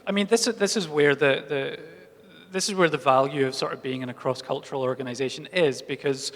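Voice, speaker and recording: A man in his late thirties thinking out loud on a festival panel, rambling through restarts. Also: on the high side, a little fast. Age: late 30s. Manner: thoughtful, rambling, many restarts. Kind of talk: casual panel talk. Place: festival talk hall. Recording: long hall reverb.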